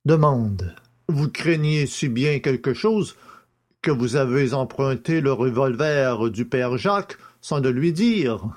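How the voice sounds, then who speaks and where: A man reading a magistrate's questions deliberately in a dramatised audiobook. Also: medium pitch, lively intonation.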